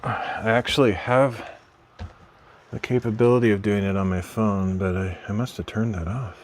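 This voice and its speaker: A man streaming from his craft room at home, chatting casually while he works, rambling and correcting himself in a mild, low-key way. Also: steady tone, moderate pace, a little hiss or hum.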